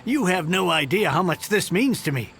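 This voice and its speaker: A man's voice: high voice, lively intonation, moderate pace, vocal fry, over music. A man playing an earnest, humble, effusive heir of a fallen house in a video game. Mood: grateful. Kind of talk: English video game voice acting.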